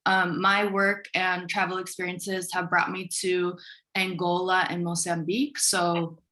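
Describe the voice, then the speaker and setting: A woman's voice: on the low side, level tone, a little slow, pushed and bright. An American woman making a comment as a forum participant over a video call. She speaks casually, hedging with frequent fillers. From United States. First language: English.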